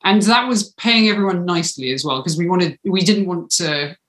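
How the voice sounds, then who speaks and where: A woman's voice: fairly low pitch, normal speed, a bit bright. British female, thirties, chatty podcast guest, roomy home-call sound. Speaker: interview guest, podcast creator. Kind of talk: casual interview chat. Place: small home room, indoors.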